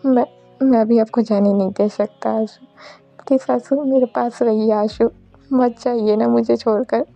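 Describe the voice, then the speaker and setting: Woman voicing a desperate pleading male lover, creaky. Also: fairly high pitch, fairly even intonation, a little slow, warm tone, faint music behind. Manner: pleading, repetitive, urgent. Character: desperate, devoted male lover begging his beloved.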